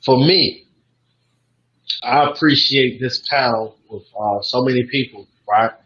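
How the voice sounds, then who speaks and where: A man's voice: medium pitch, fairly bright tone. Reflective man with dull, muffled sound. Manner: reflective, halting. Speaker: panelist. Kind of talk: roundtable discussion. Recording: slightly dull audio.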